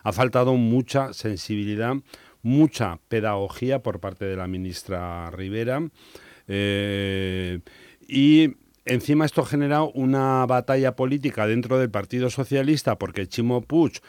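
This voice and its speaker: A man's voice: medium pitch, unhurried, a little mellow, smooth, no room sound, studio quality. A man commenting in a radio debate, opinionated and often correcting himself mid-sentence. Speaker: panelist.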